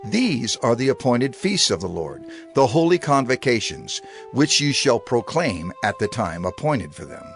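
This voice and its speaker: A man voicing a grave proclaimer of divine law, measured and authoritative. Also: mid-range voice, sing-song, faint music behind. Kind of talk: dramatized scripture reading.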